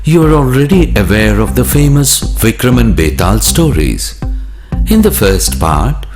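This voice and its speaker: A man's voice: medium pitch, very animated, unhurried, music in the background. A man narrating scripted stories for children, addressing them directly in a formal storyteller's manner.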